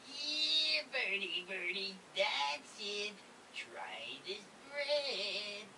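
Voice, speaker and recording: A woman's voice: mid-range voice, sing-song, pushed and bright, far from the mic, slight background noise, full character voice, poor audio. A woman playing a childlike, simple-minded male helper in an audio drama, naive and whiny. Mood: naive, coaxing. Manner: slow, naive, whimpering when scared.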